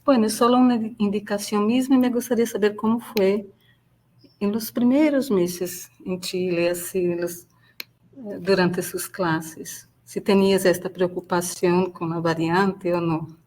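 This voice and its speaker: A woman's voice: medium pitch, fairly even intonation, a little slow, a little mellow, slight background noise, low-quality recording. A woman from Brazil, a Portuguese speaker talking in Spanish, moderating a webinar with friendly, rambling questions and frequent tag questions. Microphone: video call.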